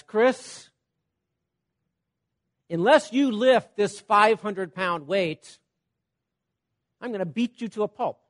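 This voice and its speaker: A man with a high voice delivers a sermon in an animated way. He swings from loud, emphatic points to soft, quiet asides and often checks in with his listeners. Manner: repeats "Okay?" to check on listeners. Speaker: preacher.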